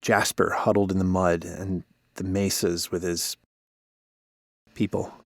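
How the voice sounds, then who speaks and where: An actor playing a guilt-ridden, defensive former park warden in an audio drama, telling things in a weary, halting way. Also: male voice, deep voice, level tone, no echo, studio quality. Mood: somber, haunted. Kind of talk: cinematic audio drama acting, naturalistic.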